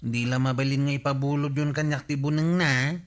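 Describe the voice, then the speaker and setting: A man's voice: medium pitch, fairly even intonation, brisk, natural voice. A man playing a cheeky, forgetful male village borrower in an Ilocano comedy radio drama, hemming and making apologetic excuses. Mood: casual, excuse-making.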